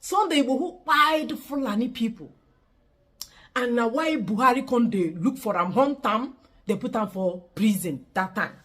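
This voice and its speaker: A woman's voice: a little high, expressive, moderate pace, a bit bright. A woman with a Nigerian accent presenting news to her viewers in a preachy tone, slipping into Pidgin at times. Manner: preachy greeting, slips into Pidgin. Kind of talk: news-style address to viewers.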